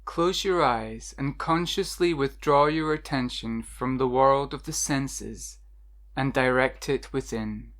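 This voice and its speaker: A meditation guide narrating a scripted guided meditation in a measured, instructional way with deliberate pauses. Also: mid-range voice, fairly bright tone.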